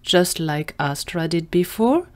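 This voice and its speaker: A woman's voice: lowish voice, animated, takes it slowly, a little mellow. A woman narrating a scripted fiction story for an audio drama.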